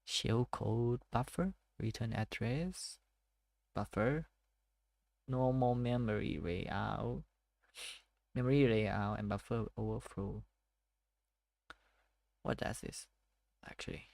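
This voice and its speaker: A man's voice: mid-range voice, creaky, darkish tone, no room sound. A man with a Thai accent, talking casually through a study session and reading text aloud as he thinks. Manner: thinks aloud, reads text slowly, hesitant. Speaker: tech study vlogger. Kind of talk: casual self-study screen walkthrough.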